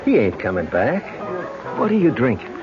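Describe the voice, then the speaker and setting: Brash, slangy barfly with lively pitch. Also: male voice, normal speed. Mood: pushy. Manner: slangy, smooth-talking. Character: brash, flirtatious male barfly. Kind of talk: old-time radio drama acting. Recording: muffled old radio recording, music behind.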